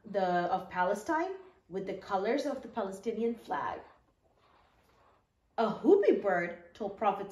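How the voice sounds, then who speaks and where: A woman storyteller reading a children's story aloud, with animated asides and questions to young listeners. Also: mid-range voice. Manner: animated, asks listeners questions, acts out short quotes. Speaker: children's storyteller reading her own book. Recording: echoey room.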